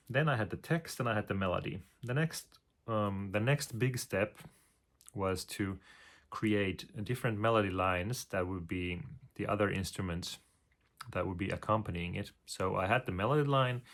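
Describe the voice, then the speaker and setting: A man's voice: low-pitched, gravelly, a bit bright. A man, a composer explaining his process with many fillers, now and then humming melody lines. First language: Finnish.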